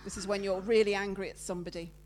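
A woman giving a lively, loud, chatty sermon with slides, talking with her congregation. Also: medium pitch, fast, a little hiss or hum. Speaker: preacher giving a talk at church. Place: church meeting room with listeners present.

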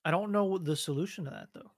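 A man's voice: high voice, fast talker, no echo, studio quality. A man co-hosting a podcast, chatting casually, rambling and trailing off mid-sentence. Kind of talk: casual chat. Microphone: studio mic.

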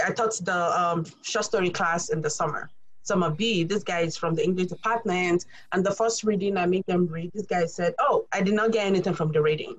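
A woman's voice: mid-range voice, poor audio. A young woman from Nigeria with a Nigerian accent, a graduate student instructor speaking earnestly and at length in a casual panel discussion, often adding "you know".

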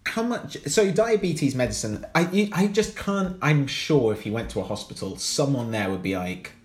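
A man with an animated, swinging voice joins the chat as a podcast co-host. He throws in dry jokes and quick reactions. The sound carries a roomy echo. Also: medium pitch. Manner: wry quips and laughing interjections. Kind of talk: casual comedic chat.

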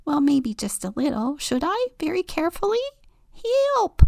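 Storybook: small scared bird, squeaky and high, read by a woman. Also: sing-song, normal speed, gravelly, a little mellow, dry recording, clean studio sound, exaggerated voice. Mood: nervous. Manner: high squeaky cheeping, nervous. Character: tiny frightened chirpy bird.

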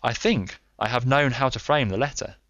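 A man voicing a calm, stern Victorian detective who speaks in a measured way. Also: medium pitch, no room sound, voice pushed up, close to an everyday voice. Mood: assured.

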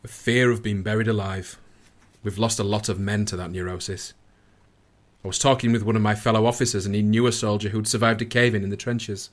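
A man's voice: on the low side, fairly even intonation, a little fast, lightly acted. A man playing a concerned army officer in the trenches in a radio play. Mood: grave.

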